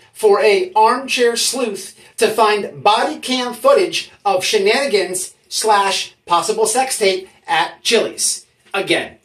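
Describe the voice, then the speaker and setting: A YouTube host giving mock-serious commentary in an emphatic, halting, mock-official way, often checking in with listeners. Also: mid-range voice. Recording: roomy echo, distant from the mic.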